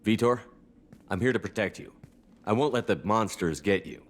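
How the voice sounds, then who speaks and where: A man's voice: on the low side, normal speed, slight background noise, natural voice. A man playing a resolute, protective military commander in a video game, speaking directly. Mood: reassuring. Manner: direct, questioning.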